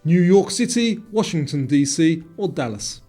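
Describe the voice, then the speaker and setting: A man narrates a scripted quiz in a dark, mellow tone. His voice sits a little high for a man, and music plays behind him. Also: expressive, moderate pace. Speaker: quiz presenter. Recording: music bed under the voice.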